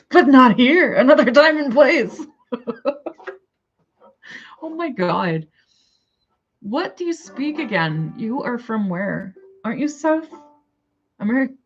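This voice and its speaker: A woman's voice: on the high side, big pitch swings, slow pace, pauses often, breathy edge, lo-fi sound, soft background music. A woman with a Canadian accent, chatting casually and rambling to her viewers on a livestream. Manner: rambling, chatty, thinks aloud and answers chat. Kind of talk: casual live chat.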